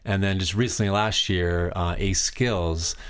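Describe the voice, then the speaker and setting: A man from Canada, a DJ guest in a podcast interview, speaking in a monotone, rambling way. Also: low-pitched, unhurried, smooth. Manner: rambling, with many fillers and restarts. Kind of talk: casual interview chat.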